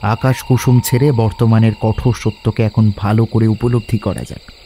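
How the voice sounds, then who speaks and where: Man playing resolute young adventurer, muted dark tone. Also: on the low side, level tone, moderate pace, slight background noise, close to an everyday voice. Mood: explaining. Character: Shankar, resolute quick-witted schoolboy adventurer leading his friend. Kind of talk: Bengali audio drama acting.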